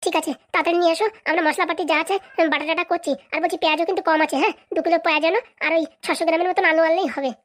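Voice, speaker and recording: A woman's voice: very high-pitched, fairly even intonation, racing, few pauses. A woman playing a thrifty, bossy, exasperated wife in a comedy cartoon. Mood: brisk, bossy. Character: thrifty, scolding wife refusing her husband money.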